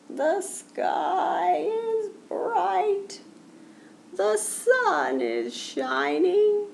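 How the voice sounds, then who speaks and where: Storybook turtle: high, droopy, laboured, painfully slow delivery. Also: female voice, creaky, slight background noise, heavily acted. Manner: drawn-out, laboured words.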